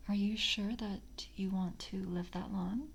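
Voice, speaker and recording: A woman playing a polite vampire coven member in a soft-spoken ASMR roleplay, breathy and often whispering, with formal, halting courtesy. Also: medium pitch, steady tone. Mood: polite, measured.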